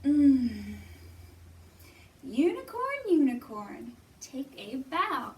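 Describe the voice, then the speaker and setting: A woman with a high voice hosts a storytime for small children. She takes her time, speaking expressively in simple phrases as she reads and leads action rhymes. She is recorded some way from the microphone. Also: sing-song, very slow, highs cut off. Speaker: children's librarian and storytime host. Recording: distant microphone.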